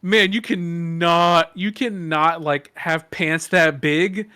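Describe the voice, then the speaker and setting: A man with a soft voice sits in on a casual podcast chat. His pitch runs high and his tone is bright. He often restarts his sentences as he tells a story. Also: takes it slowly, clean tone, no room sound, studio quality. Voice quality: fairly soft voice. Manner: animated, restarts phrases often. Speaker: podcast guest.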